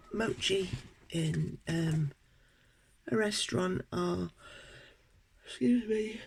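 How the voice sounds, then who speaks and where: British woman vlogger, croaky from a cold, unhurried and halting, slight background hiss. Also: low-pitched, a little mellow. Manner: hesitant, many ums and pauses. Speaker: unboxing vlogger. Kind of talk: casual unboxing chat.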